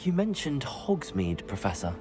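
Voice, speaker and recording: An actor playing a polite, earnest teenage student wizard in a video game. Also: male voice, medium pitch, very animated, normal speed, over music, close to an everyday voice. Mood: polite, curious. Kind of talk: scripted video game dialogue.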